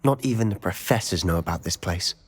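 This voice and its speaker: A man's voice: low voice, lively intonation, a little fast, slightly rough. A man playing a confident, secretive teenage wizard schoolboy in a game, self-assured and confiding. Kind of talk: scripted game dialogue. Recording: music under the voice.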